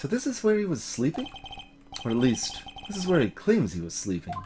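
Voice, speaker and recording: Man playing a young male lawyer, video game dialogue, earnest, skeptical, dry, lively intonation, faint noise. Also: fairly high pitch, brisk. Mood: pensive. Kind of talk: voiced visual novel dialogue.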